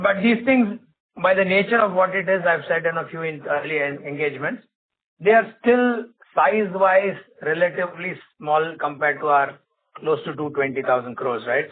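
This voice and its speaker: A man with a fairly high voice answers as a company executive on an earnings call. He speaks in a measured, halting, careful way. The sound is narrow, like a telephone conference line. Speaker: bank executive.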